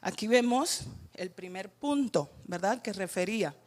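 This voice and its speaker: A woman's voice: medium pitch, expressive, unhurried, darkish tone. A woman from Cuba with a Cuban Spanish accent, leading a teacher training workshop and checking in with her audience as she goes. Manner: guiding, checks in with "¿verdad?" and "ujú".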